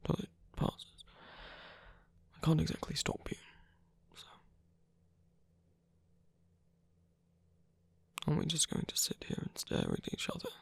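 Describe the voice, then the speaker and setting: A man playing a tender, guarded villain in pain in an intimate ASMR roleplay, speaking hesitantly in a husky, breathy near-whisper. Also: a little high, huge pitch swings, no echo, exaggerated voice. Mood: shy, wry. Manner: hesitant, halting, softly wry.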